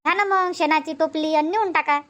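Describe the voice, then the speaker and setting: A woman voicing a chatty, sharp-tongued village housewife in a dubbed cartoon comedy, scolding and complaining. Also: high-pitched, a little fast, slight background noise.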